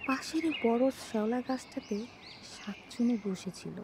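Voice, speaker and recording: A woman's voice: on the high side, measured pace. A woman reading scripted storybook narration of a spooky folk tale. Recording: clear noise, outdoor birdsong behind. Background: birdsong and outdoor ambience.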